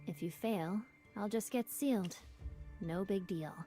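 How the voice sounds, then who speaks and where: A woman voicing a sealed female Hypogean demon in a game, calm and lightly teasing. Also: mid-range voice, unhurried, faint music behind, natural voice. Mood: breezy. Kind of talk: game voice acting.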